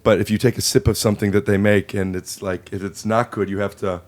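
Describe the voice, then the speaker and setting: Male podcast host, lowish voice with a slight post-cold rasp, casual, playful, teasing comic banter. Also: little pitch movement, fast talker. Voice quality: slightly raspy. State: voice a little rough after illness. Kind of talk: casual comedic chat.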